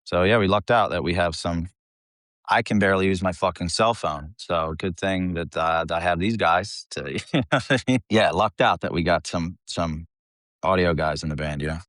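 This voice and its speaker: Deep-voiced man chatting casually. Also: normal speed, a bit bright, no echo, studio quality. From United States. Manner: informal, rambling, self-deprecating, swearing. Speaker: metal band vocalist, interview guest. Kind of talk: casual interview chat.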